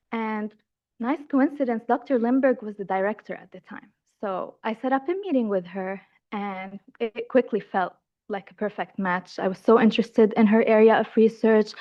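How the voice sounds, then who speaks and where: A woman's voice: a little high, lo-fi sound. A young woman from Lebanon gives a friendly, enthusiastic seminar talk, telling the story of her own studies. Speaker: graduate student presenter. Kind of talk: seminar presentation.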